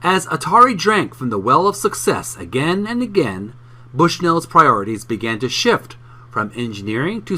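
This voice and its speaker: A man reading a book aloud for his video channel in an emphatic, deliberate way, occasionally stumbling over words. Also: a little high, bright, forceful tone.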